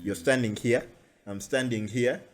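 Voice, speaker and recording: A man's voice: mid-range voice, measured pace, crowd noise. Chatty Ugandan man hosting a podcast. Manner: chatty, animated storyteller. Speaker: podcast host. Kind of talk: casual chat.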